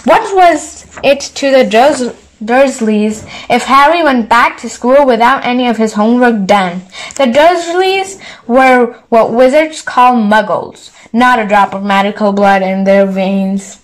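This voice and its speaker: A woman's voice: a little high, unhurried, low-quality recording. A woman reading a children's story aloud as scripted narration. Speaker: audiobook reader.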